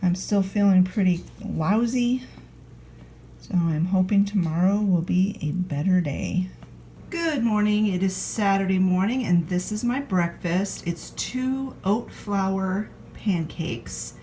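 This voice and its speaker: A woman's voice: mid-range voice, slow pace, warm tone, slight background noise. Congested woman chatting on a vlog. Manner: chatty and homey, talking straight to the camera. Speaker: vlogger. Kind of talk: casual food vlog narration. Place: at home in a recliner.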